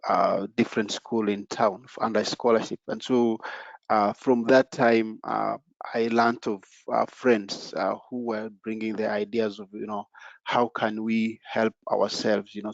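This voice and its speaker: Man, from Congo, raised in Uganda, online panelist, halting speech full of fillers, deep monotone voice, dry muffled call audio. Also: vocal fry, no echo. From Democratic Republic of the Congo, raised in Uganda. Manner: halting, filled with "uh" and "you know". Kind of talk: personal story on an online panel.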